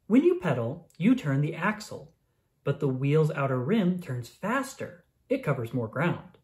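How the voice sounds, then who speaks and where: Expressive children's book narrator. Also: medium pitch, measured pace. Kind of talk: scripted read-aloud of a children's science book.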